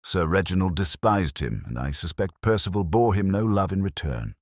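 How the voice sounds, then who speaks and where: A man voicing an uneasy, hesitant Victorian gentleman in an audiobook, formal and guarded. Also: deep voice, animated, moderate pace, no room sound, studio quality, voice pushed up.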